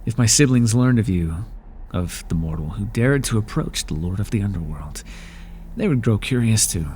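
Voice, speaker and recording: A man's voice: lowish voice, animated, moderate pace, warm tone, a little hiss or hum, natural voice. A man playing an aloof, lonely god of the underworld in an ASMR roleplay, talking in a dry, languid and sardonic way.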